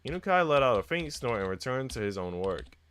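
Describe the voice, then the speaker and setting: A man's voice: mid-range voice, very animated, fairly quick, fairly bright tone, clear, resonant tone. A man on a live stream, reading visual novel text aloud and chatting casually with viewers. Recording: noisy mic with typing sounds.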